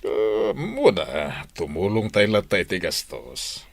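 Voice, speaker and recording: A practical, reassuring older man in a radio drama. His low voice moves in animated swings as he reasons emphatically, recorded close and dry. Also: a little slow, no room sound, exaggerated voice.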